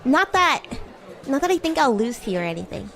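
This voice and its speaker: A woman's voice: sits high, very animated, brisk, fairly bright tone, soft background music, some chatter behind. A woman streaming an improvised roleplay as a bratty, playful demon schoolgirl, chatting teasingly with her listener. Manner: teasing, giggly, addresses listener as human. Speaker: VTuber streamer in character, chatting with viewers. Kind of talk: improvised in-character roleplay livestream chat.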